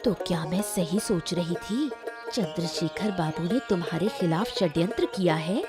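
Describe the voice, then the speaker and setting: A woman's voice: lowish voice, normal speed, over music, close to an everyday voice. A woman voicing an earnest, sympathetic village neighbour in a Hindi moral-story cartoon. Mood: supportive. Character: sympathetic village neighbour, wary then supportive.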